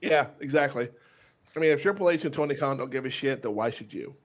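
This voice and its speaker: A man's voice: medium pitch, quick pace. A man with a Texas accent, co-hosting a podcast in casual, blunt, profane chat, laughing often. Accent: Texan. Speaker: podcast co-host.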